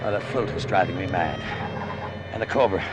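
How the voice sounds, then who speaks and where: An actor playing a desperate, terrified crooked pilot in an overwrought old-time radio drama style. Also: male voice, low-pitched, a little fast, noisy background, lightly acted. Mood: tense narration. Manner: overwrought, breathless intensity. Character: desperate, terrified crooked pilot telling his own tale.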